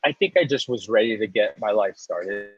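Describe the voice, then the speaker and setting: A man's voice: fairly low pitch, lively intonation, normal speed, dull audio, a little hiss or hum. A man in his thirties, a guest in a casual interview, rambling through his story with restarts and small laughs. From United States. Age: 30s. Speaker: guest, coffee roaster and business owner.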